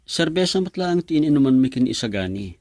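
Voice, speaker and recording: A man's voice: mid-range voice, big pitch swings, fairly quick, a little mellow, no room sound, studio quality, close to an everyday voice. A man playing an earnest young suitor. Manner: earnest, pleading with his mother. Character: young man chasing a mysterious bar girl. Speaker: actor. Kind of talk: radio drama acting.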